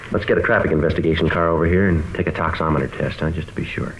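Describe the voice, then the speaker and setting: A man's voice: very deep voice, fairly even intonation, very fast, a bit bright, a little hiss or hum. A man playing a terse, businesslike American police detective in a mid-century radio drama, clipped and matter-of-fact. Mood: firm, pressing. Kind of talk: 1950s American radio drama acting and narration. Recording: dull old radio recording.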